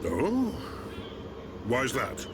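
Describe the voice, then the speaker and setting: An actor voicing a genial old chief of a machine race in a video game cutscene, hospitable and unhurried. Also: male voice, medium pitch, huge pitch swings, background noise, full character voice. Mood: curious. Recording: loud music over the voice.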